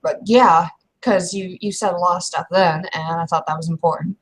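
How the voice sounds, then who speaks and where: A blunt yet hesitant she-werewolf, played by a woman in a tabletop game, talks haltingly in a husky, fairly low voice. Her manner is matter-of-fact. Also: fairly even intonation, close to an everyday voice, rough recording. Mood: earnest.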